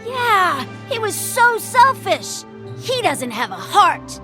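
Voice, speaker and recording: A woman's voice: very high voice, big pitch swings, slow pace, over loud music, heavily acted. A woman voicing a spirited, outspoken young child in a video game. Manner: outspoken, indignant.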